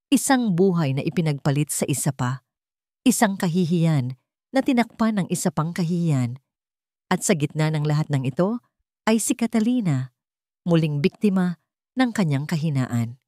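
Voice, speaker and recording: Filipino lady reading a dramatic story, lowish voice that swings a lot, very dry recording. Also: expressive, moderate pace, long pauses, warm tone, no room sound. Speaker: story narrator. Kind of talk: dramatic scripted narration of a Tagalog romance drama.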